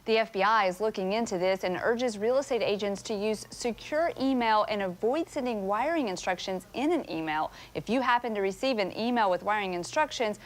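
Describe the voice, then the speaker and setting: A woman with an American accent reports the news in a voice of medium pitch. She delivers her lines as a television reporter.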